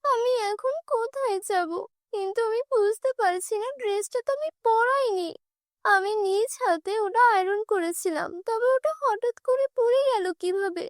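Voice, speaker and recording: A woman's voice: very high-pitched, moderate pace, no room sound, voice pushed up. A woman voicing a meek, mistreated young stepdaughter in a cartoon, timid and earnest. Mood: worried.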